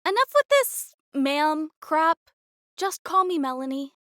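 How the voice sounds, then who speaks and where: A woman's voice: high-pitched, sing-song, measured pace, a bit bright, dry recording, clean studio sound, heavily acted. A woman playing a headstrong, snappy adventurer in an audio fantasy drama. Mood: exasperated. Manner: snappy, grudging.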